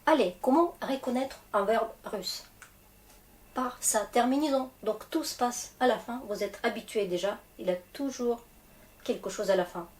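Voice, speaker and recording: A woman with a Russian accent and a cold, teaching a language lesson, slow and patient as she spells out word endings. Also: fairly high pitch, a little mellow. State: has a cold.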